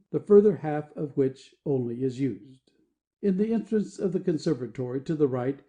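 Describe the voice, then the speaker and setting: A man reading the stage directions of a play as scripted narration, in a measured, descriptive way. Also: on the high side, warm tone.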